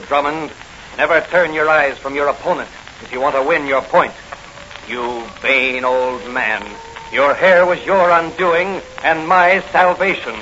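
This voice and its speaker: An actor with an American accent playing a tough, commanding figure in a 1940s radio crime drama. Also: male voice, fairly high pitch, close to an everyday voice. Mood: stern, triumphant. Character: ruthless gangsters and a stern masked crimefighting hero. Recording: noisy, muffled old radio recording.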